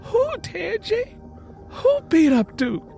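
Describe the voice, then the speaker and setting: A man's voice: very high-pitched, huge pitch swings, slow, gravelly, dark tone, soft background music, pitched above the performer's own voice, exaggerated voice. A man voicing a brave, protective wife and mother with a Southern US accent, pleading emphatically in a quaking voice in an audio story. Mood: alarmed.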